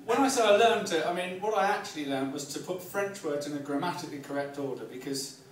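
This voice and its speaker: A man in his forties with a southern English accent, doing live stand-up comedy in a wry, self-deprecating way with halting, repeated phrases. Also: on the high side, animated. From Kent, England. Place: live comedy night in a pub venue. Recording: echoey club, distant mic, audience noise. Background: audience laughter.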